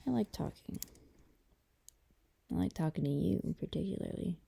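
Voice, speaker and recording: A woman playing a gentle, affectionate girlfriend in an intimate ASMR roleplay, talking softly and hesitantly to a partner. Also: lively intonation, exaggerated voice. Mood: relaxed, affectionate. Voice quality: dark, creaky low voice. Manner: soft, hesitant, unhurried conversational delivery.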